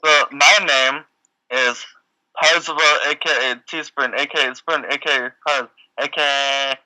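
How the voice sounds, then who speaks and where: A man's voice: medium pitch, steady tone, measured pace, sharp tone, poor audio. A man chatting casually with friends online, eager and a little stammering. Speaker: podcast co-host.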